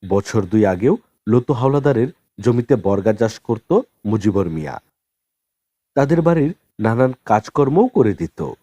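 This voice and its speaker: A man narrating a novel as an audiobook in a measured storytelling manner. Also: medium pitch, mellow, no echo.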